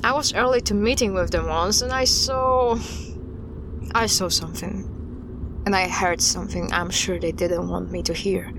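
A woman playing a guarded investigator in an audio drama, recounting an unsettling case in a halting, deliberate way. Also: mid-range voice, steady tone, noisy background, close to an everyday voice. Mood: uneasy. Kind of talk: audio drama dialogue, storytelling.

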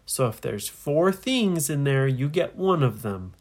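A woman's voice: extremely low pitch, big pitch swings, measured pace, darkish tone, husky, exaggerated voice. A woman voicing plucky little children on an adventure in a story, speaking plainly and earnestly. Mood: bargaining.

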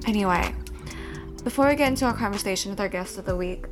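Woman podcast host, casual and chatty, easy filler-heavy talk. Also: mid-range voice.

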